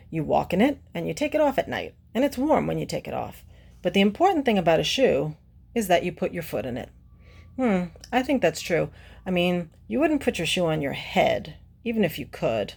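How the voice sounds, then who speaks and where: Woman, children's read-aloud presenter: low, gravelly voice, explaining simply and asking questions. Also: darkish tone. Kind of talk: picture-book read-aloud with teacherly asides to children.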